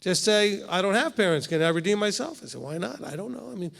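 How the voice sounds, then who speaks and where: 50s rabbi guy teaching torah class, kinda high voice, keeps saying okay, echoey hall. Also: lively intonation, quick pace, creaky, a bit bright. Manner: conversational, keeps asking "okay?". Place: congregation hall.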